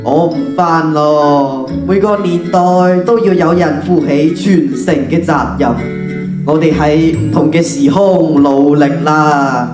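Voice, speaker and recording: A woman's voice: low voice, takes it slowly. A teenage girl with a Hong Kong Cantonese accent playing an earnest, wary elderly potter in a theatrical school radio drama. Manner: theatrical, exclamatory. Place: large auditorium stage. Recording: echoey hall from far off, music behind.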